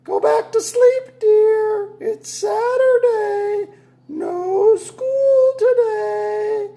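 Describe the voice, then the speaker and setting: A fussing, coaxing mother frog from a children's storybook, put on by a man. Her voice is very high and shrill, with slow singsong phrases that stretch out at the ends. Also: gravelly, mellow, exaggerated voice.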